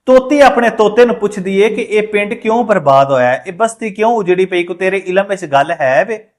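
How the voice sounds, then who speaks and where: Male Punjabi storyteller, high voice, emphatic oral delivery of moral fables. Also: animated, fairly quick.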